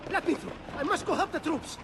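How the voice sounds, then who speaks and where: High-voiced, urgent guard. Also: male voice, a little fast, very noisy. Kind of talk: video game cutscene acting. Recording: loud music behind the voice.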